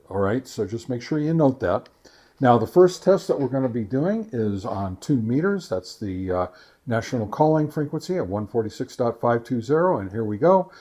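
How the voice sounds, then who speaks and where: A man hosting a casual gear test review, talking to viewers in a halting, methodical way. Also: mid-range voice, dark tone. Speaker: host of a radio gear review channel.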